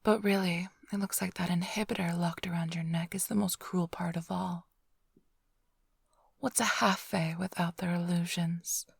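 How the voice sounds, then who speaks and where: A woman's voice: fairly low pitch, fairly even intonation, moderate pace, no room sound. A woman playing a flirtatious, smug female vampire thief in a whispered, teasing ASMR roleplay, drawling close to the microphone. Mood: hushed, appraising. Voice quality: breathy, whispery. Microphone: close-up studio microphone, dry.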